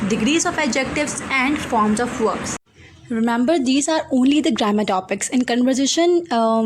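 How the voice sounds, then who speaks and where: An earnest woman with a Pakistani accent introduces her online English course, working through lists and pausing on frequent fillers. Her voice sits a little high. A steady hum of background noise runs under the recording. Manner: earnest, many fillers, list-like delivery.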